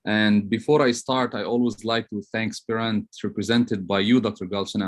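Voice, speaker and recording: A man from Lebanon giving a semi-formal online lecture as a professor. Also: on the low side.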